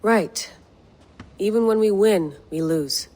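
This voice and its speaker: An actress playing a weary, terse and stoic field agent in a naturalistic video game scene. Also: female voice, medium pitch, slow pace, dark tone, natural voice. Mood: weary, subdued.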